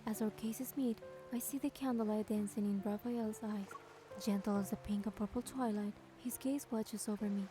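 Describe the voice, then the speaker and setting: An actress playing a gentle, affectionate young heroine, sweet and wistful, speaking and narrating in a romance game. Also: female voice, medium pitch, steady tone, moderate pace, over music, natural voice. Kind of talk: romance game voice acting and first-person narration.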